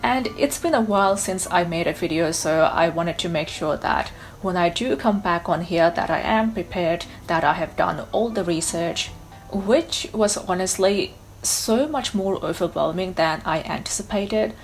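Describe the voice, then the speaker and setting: A woman's voice: fairly low pitch, measured pace, faint music behind, rough recording. A young woman from Bangladesh narrating a scripted history explainer video in an earnest, personal and emphatic way. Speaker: YouTuber.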